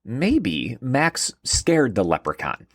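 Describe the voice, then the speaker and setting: Man reading as a curious young storybook boy with lively swoops. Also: medium pitch, slow pace, dry recording, clean studio sound, heavily acted. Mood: pondering. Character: curious, excitable young boy hunting a leprechaun. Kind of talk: children's storybook character voice.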